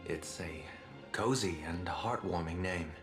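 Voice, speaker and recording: A man voicing a stoic, reserved warrior in a video game, with a measured, deadpan delivery. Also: deep voice, over loud music. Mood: dry. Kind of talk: dramatic video game voice acting.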